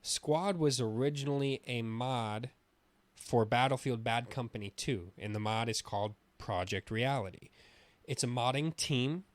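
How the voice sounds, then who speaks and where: Male gaming podcast co-host, casual and opinionated, loose with swearing, average pitch, very dry sound. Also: measured pace, no room sound.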